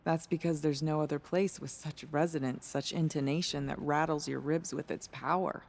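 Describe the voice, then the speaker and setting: A proud game musician with a gravelly edge to the voice. The delivery is earnest and lyrical, over faint ambient noise. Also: female voice, sits low, steady tone, normal speed. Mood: proud, grateful. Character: proud, grateful village musician devoted to ancestral songs. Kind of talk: video game cutscene acting.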